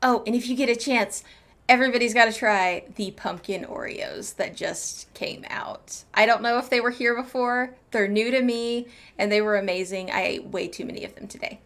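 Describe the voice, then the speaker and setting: A woman's voice: on the high side, moderate pace, a bit bright. A woman game streamer chatting casually and playfully with her live audience in an informal way. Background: game music.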